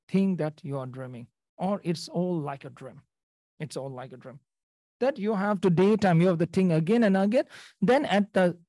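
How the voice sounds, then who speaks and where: Male dharma teacher from Nepal, unhurried, repeats himself, dry sound. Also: on the high side, warm tone, no echo. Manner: halting, repeats phrases, checks in with "okay?". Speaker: Buddhist teacher. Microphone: video call.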